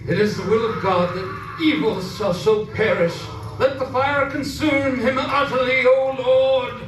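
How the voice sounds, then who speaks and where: A man's voice: extremely high pitch, a little slow. An actor playing a solemn, pious man who denounces evil in grave, declamatory old-time radio horror style. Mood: righteous, grave. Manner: grave, declamatory, archaic diction. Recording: echoey stage, distant mic, music behind.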